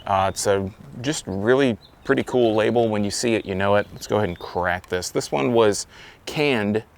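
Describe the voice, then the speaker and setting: A man with a fairly low voice and a gravelly, fry-laden edge talks through a beer review outdoors. He rambles easily and keeps restarting his thoughts. A faint hiss of wind sits behind him. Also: moderate pace. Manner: rambling, self-correcting, loose. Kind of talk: casual beer review vlog. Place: outdoors, windy. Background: wind noise.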